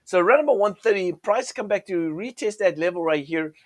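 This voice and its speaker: Croaky-voiced man hosting a trading show. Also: high-pitched, expressive, a little fast, dry recording, studio quality. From United States. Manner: chatty, many fillers and restarts. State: croaky from a cold. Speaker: forex trading show host. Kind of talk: casual chart commentary.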